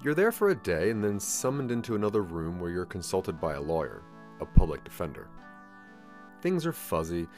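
A man's voice: low voice, very animated, moderate pace, darkish tone, faint music behind. A man narrating a scripted second-person audio drama in a theatrical, ominous storytelling manner.

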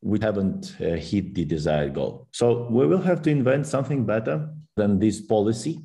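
A man's voice: fairly low pitch, lively intonation, normal speed, gravelly, warm tone. A man teaching a programming course, walking through code step by step with frequent "uh" fillers and slightly phrasing. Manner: explanatory, with frequent fillers and non-native phrasing. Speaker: course instructor. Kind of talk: screen-share code walkthrough.

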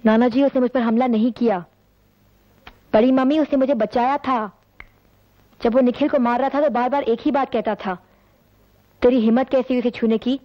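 A woman's voice: fairly high pitch, fairly even intonation, moderate pace, long pauses, lightly acted. An actress playing a distressed, insistent woman in Hindi film melodrama, pleading and emphatic. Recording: dull lo-fi film soundtrack, music, background voices.

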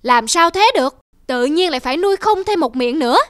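A woman's voice: sits high, expressive, brisk. A woman voicing a stout, stingy middle-aged mistress in a cartoon folk tale, scolding and domineering. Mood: haughty, grudging. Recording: music behind the voice.

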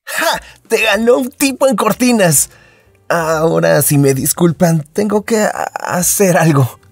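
Woman voicing a husky, goofy teenage anime boy. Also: fairly low pitch, animated, unhurried, soft background music, exaggerated voice. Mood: smug. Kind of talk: fandub comic acting.

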